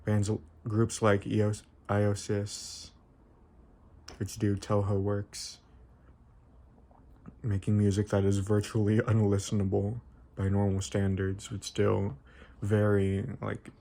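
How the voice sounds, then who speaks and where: Low-pitched, flat-toned young man. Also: takes it slowly, vocal fry, pauses often. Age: young adult. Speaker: video essayist. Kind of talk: informal explanatory video essay.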